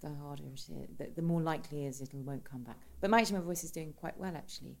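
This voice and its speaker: A middle-aged woman, a stage actress, chatting in an interview in a rich, deliberately lowered voice, a little tired, with many restarts and quoted asides. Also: darkish tone. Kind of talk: interview chat.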